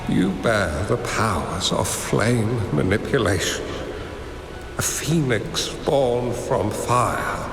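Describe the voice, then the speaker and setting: An actor playing a wise elderly hermit master in a game, gentle and solemn, with measured, deliberate phrasing. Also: male voice, lowish voice, expressive, background noise. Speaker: mentor character in a game story. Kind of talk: dramatic game voice acting. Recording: reverberant hall with music. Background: music.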